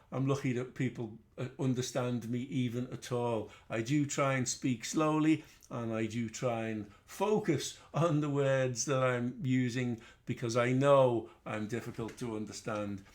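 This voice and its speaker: A middle-aged man with a Liverpool accent, hosting a casual trading webinar in a chatty, rambling way with self-deprecating asides. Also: mid-range voice. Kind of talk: casual live webinar teaching.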